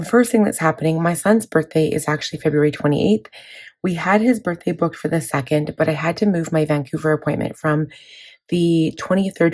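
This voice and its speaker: A woman in her thirties, hoarse from laryngitis, chatting casually about her planner with many fillers. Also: low-pitched, darkish tone. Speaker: planning vlogger. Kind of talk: casual chat walking through a planner.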